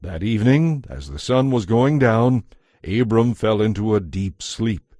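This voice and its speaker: A man narrating scripture from a script as a solemn, measured storyteller. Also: on the low side, animated, mellow, dry recording, studio quality.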